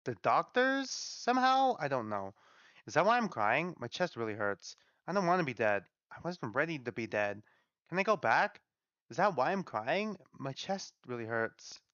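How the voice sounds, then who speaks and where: A man's voice: a little high, sing-song, normal speed, fairly bright tone, no echo, highs cut off. A man voicing a shy, bewildered spirit of a dead girl in a story, timid and apologetic. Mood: confused, distraught. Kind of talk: dramatic read-aloud of a story.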